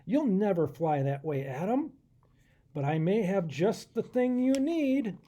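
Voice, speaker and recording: A man voicing a wise, kindly king who gives guidance in a children's storybook, in a measured, instructive way. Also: high voice, sing-song, mellow, heavily acted. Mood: kindly guiding.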